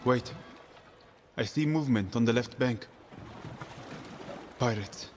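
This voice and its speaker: A man's voice: medium pitch, slow pace, background noise, lightly acted. A man playing a watchful, blunt guard and guide in an audio adventure game, terse and commanding. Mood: watchful, tense. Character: seasoned male guard and guide, watchful, blunt, gruff protector.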